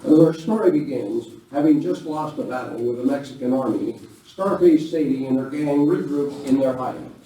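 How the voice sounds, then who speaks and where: A man's voice: medium pitch, normal speed, few pauses, dark tone. A man hosting and narrating a live Western radio play in front of an audience. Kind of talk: stage hosting and dramatic scripted narration. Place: live performance in a village venue. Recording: very echoey room, distant mic, noisy.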